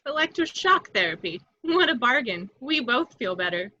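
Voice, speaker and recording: A woman's voice: a little high, sing-song, normal speed, bright, forceful tone, heavily acted. A woman playing a lonely, self-mocking young secretary with sardonic delivery in an amateur read. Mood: wry. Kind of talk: amateur table read acting. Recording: echoey, muffled home microphone.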